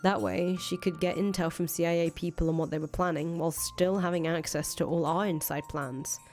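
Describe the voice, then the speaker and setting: A woman's voice: lowish voice, fairly even intonation, fairly quick, a little mellow, natural voice. A woman playing a cynical, foul-mouthed ousted rebel leader in a visual novel, thinking aloud in casual, profane speech. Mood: bitter, frustrated. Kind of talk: acted reading of visual novel dialogue. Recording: music under the voice.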